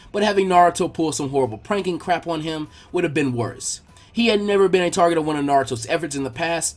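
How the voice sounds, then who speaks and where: A man reading fan fiction aloud as an expressive story narrator. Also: fairly high pitch.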